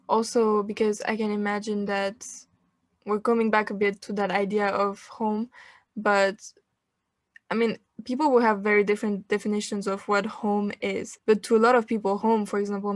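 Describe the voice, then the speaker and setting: Woman podcast host, steady and encouraging, monotone delivery, dry close sound. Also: mid-range voice, no room sound. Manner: steady, encouraging with frequent backchannels. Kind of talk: podcast interview.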